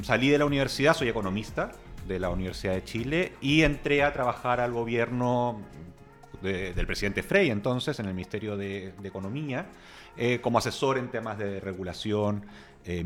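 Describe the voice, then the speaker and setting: A man in his forties from Peru speaking as an interview guest, giving a measured, articulate, conversational account. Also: lowish voice, a bit bright. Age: 40s. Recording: faint music in the background.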